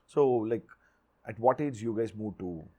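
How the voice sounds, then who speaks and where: A man's voice: fairly low pitch, unhurried, warm tone. A man from southern India hosting a talk show, asking teasing questions with frequent laughs. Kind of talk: casual interview chat.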